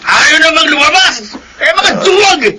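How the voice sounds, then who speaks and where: A drunken young man in a radio drama, itching for a fight. His voice is strained very high, slurred and stubbornly defiant, on a noisy recording. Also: moderate pace, pushed and bright, heavily acted.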